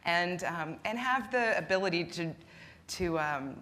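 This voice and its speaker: A woman's voice: lowish voice, lively intonation, measured pace, a bit bright. A woman answering on a live panel, self-mocking and acting out her own inner voice.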